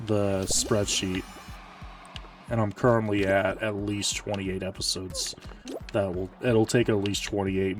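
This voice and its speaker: Tired, low-voiced male streamer. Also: a little mellow, recorded from a distance. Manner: rambling, self-correcting, low-key. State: tired, slightly hoarse. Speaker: Twitch streamer. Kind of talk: casual live-stream gaming chat.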